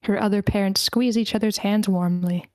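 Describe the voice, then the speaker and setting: A woman's voice: mid-range voice, fairly even intonation, moderate pace, a little mellow, dry recording. Dry-sounding woman reading stage directions. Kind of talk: scripted narration at a screenplay table read.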